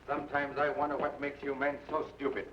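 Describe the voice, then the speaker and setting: A man's voice: medium pitch, monotone, a little fast, far from the mic, close to an everyday voice. An actor playing a stern male bandit captain with a clipped, formal manner in an old-time radio drama. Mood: scornful. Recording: muffled, echoey, hissy old radio recording.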